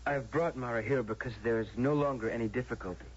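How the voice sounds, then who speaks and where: Actor as desperate, husky pleading man. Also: medium pitch, fast talker, natural voice. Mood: nervous insistence. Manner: urgent, coaxing, hesitant. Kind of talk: radio drama acting. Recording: muffled old radio broadcast recording.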